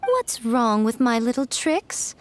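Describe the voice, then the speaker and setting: Sly female trickster for anime game: highish, very expressive, smug, teasing, self-assured. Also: slow pace. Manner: smug, playful, mocking.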